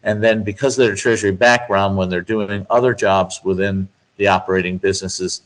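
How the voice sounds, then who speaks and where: A man giving measured, explanatory answers as a guest expert in a business interview, laying out points step by step with emphasis. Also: low-pitched, fairly even intonation, poor audio. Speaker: guest speaker, finance expert.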